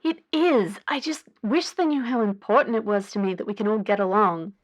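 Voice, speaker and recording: A woman's voice: mid-range voice, fairly quick, natural voice. A woman playing an anxious, earnest young caller to a radio advice show in an audio drama, chatty and hesitant. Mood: worried, frustrated. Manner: hesitant, chatty, with filler words.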